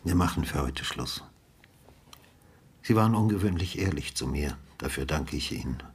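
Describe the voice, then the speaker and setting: An actor playing an older, brooding former homicide detective in a radio drama, questioning in a patient, sober, understated way. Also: male voice, a bass voice, expressive, normal speed, slight background noise. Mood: calm, reassuring.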